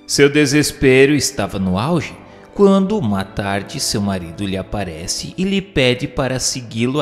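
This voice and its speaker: Man narrating formally in a long hall reverb. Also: medium pitch. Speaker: narrator reading questions and commentary. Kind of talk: scripted audiobook narration of a spiritist text. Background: music.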